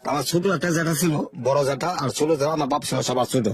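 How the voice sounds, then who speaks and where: Fast-talking older man. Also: on the high side, fairly even intonation. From Bangladesh, Sherpur. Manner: unhurried rustic storytelling, repeating words. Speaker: interview guest. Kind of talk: telling his life story in an interview.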